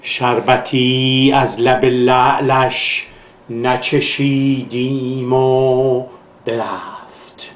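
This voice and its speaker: A man from Iran, a literature professor, recites classical poetry in a measured, declamatory way, drawing out the line endings. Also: mid-range voice. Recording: echoey, reverberant room sound.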